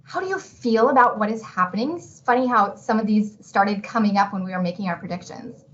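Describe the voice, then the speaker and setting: A woman's voice: mid-range voice, fairly quick. A woman presenting an instructional webinar as an educator, guiding listeners with open-ended questions. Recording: dull lo-fi webinar audio.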